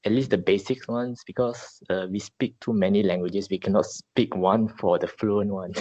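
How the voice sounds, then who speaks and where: Deep-voiced Malaysian Chinese man, halting. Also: steady tone, mellow, dry recording, highs cut off. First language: Mandarin. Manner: halting, many fillers and restarts. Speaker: podcast host. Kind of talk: casual podcast chat.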